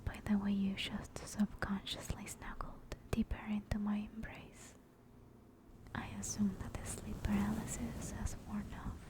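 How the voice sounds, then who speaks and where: A woman playing a gentle, affectionate sleep paralysis demon in a soothing, reassuring whispered ASMR comfort roleplay. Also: mid-range voice, natural voice. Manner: soft, slow, soothing, reassuring.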